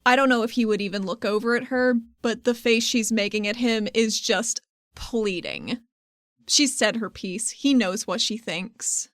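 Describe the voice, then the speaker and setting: A woman's voice: fairly high pitch, measured pace, a bit bright, no echo, clean studio sound. A woman playing a tabletop role-playing game, eager and playful, who swears casually. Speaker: player.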